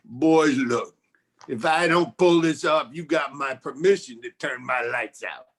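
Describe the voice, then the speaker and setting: An actor playing a glib, wisecracking 1940s private detective in a campy noir radio play. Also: male voice, mid-range voice, a little slow, fairly bright tone, husky. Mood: cocky. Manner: glib, slangy.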